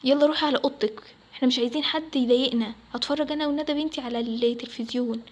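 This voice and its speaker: Egyptian mother played by a woman for a family story: high female voice, rapid delivery, stern and dismissive. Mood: scolding. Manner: scolding, dismissive. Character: harsh, scornful mother who favours one daughter. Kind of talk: acted Arabic family story.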